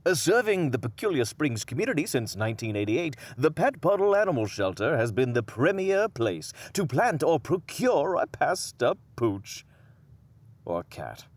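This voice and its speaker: Lively, folksy small-town radio pitchman. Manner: hesitant, animated, alliterative patter. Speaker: radio announcer reading a sponsor spot. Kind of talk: comedic audio drama, folksy radio ad read.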